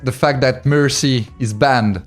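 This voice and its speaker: A man with a French accent asks questions in a relaxed, casual interview. His voice is mid-pitched and his phrasing hesitant but amused. Music plays behind him. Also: unhurried. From France. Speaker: interviewer and show host.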